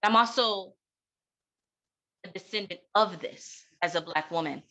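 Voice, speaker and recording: A middle-aged American woman delivering an impassioned keynote talk in an emphatic, deliberate way, with rhetorical pauses and repetition. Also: fairly low pitch, sharp tone. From United States. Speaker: keynote speaker and educator.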